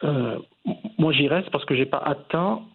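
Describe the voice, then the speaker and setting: A man in his forties giving measured, reflective answers as the guest in an interview. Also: fairly high pitch, expressive, gravelly, breathy edge, phone-quality sound. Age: 40s.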